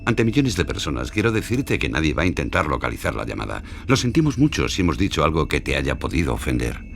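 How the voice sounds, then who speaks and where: A man's voice: a bass voice, very animated, fast, vocal fry, slight background noise, exaggerated voice. A man playing an earnest, conciliatory talk show presenter in an audio drama. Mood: earnest, pleading.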